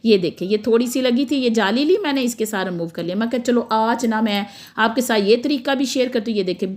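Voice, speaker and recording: A middle-aged woman with a Pakistani Punjabi accent and a hoarse throat, chatting casually while demonstrating kitchen tasks and slipping into Punjabi. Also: a little high, darkish tone. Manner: chatty, slips into Punjabi, laughs often. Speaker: cooking vlogger.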